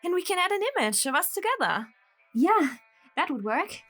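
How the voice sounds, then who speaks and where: A woman's voice: very high voice, big pitch swings, moderate pace, fairly bright tone, soft background music, full character voice. A woman acting a sweetly obsessive young woman in a radio drama, cooing and chatting as if to a lover. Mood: doting, chirpy. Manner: cooing, chatty, self-talking.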